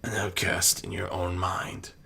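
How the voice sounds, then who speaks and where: A man voicing a calm, wise, probing otherworldly being in a fantasy story, speaking in a measured and solemn way. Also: low-pitched, gravelly, slightly rough, exaggerated voice.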